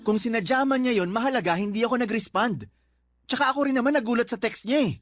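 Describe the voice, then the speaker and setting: Earnest young Filipino man, quick-talking. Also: very high voice, soft background music. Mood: reassuring. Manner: earnest, polite but firm. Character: earnest young male employee, loyal boyfriend, defending himself. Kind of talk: Filipino radio drama acting.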